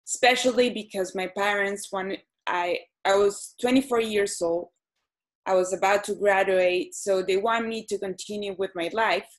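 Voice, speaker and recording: A woman, answering interview questions hesitantly with many pauses and ums. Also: medium pitch. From Venezuela. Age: 30s. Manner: hesitant, frequent ums and restarts. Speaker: guest.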